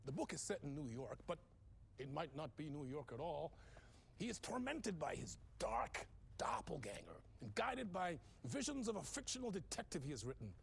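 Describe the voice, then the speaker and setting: An actor playing an exuberant, slick late-night TV host in a video game, bantering with showy, effusive chattiness. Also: male voice, a little high, very animated, normal speed, vocal fry, slight background noise. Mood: amused, dramatic. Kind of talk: theatrical talk show interview banter.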